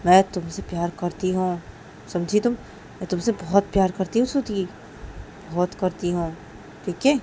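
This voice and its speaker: A woman's voice: lowish voice, a little slow, pauses often, dark tone, slight background noise, lightly acted, poor audio. A woman voicing an affectionate young woman who soothes and scolds, repeating herself insistently in a storytelling recording. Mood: tender, apologetic. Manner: insistent, repeating, tag questions.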